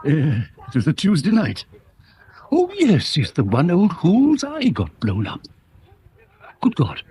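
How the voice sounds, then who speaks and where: A man's voice: fairly high pitch, huge pitch swings, slow, warm tone, exaggerated voice. An actor playing a jovial, mischievous, gossipy small-town man with an English accent in a radio drama. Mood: amused, gossipy. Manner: chuckling, droll, confiding.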